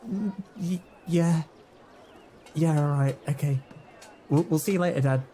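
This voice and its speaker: A man's voice: on the high side, measured pace, warm tone, slight background noise, voice pushed up, natural voice. A man playing an awkward, reluctant schoolboy with a hesitant, stammering way of talking in a tabletop game. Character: awkward, reluctant schoolboy called Alex, placating his dad.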